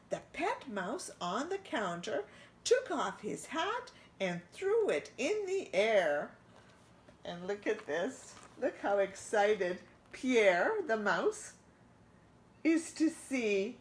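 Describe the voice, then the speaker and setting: A middle-aged woman reading a picture book aloud to children, expressive and pointing out the pictures. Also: medium pitch. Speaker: children's storytime reader.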